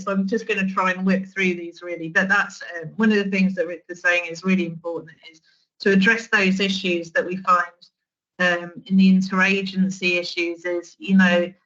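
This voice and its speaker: A woman's voice: medium pitch, fairly bright tone, dull audio. A woman in her forties, a social work trainer, giving an online training talk in a conversational way, with restarts and fillers.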